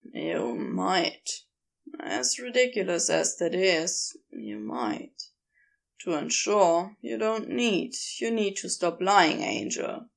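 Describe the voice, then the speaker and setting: A woman voicing a laconic, gruff but tender male supernatural being, soft-spoken and clipped in phrasing. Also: on the low side, very animated, slow pace, pitched below the performer's own voice, exaggerated voice. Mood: gently firm.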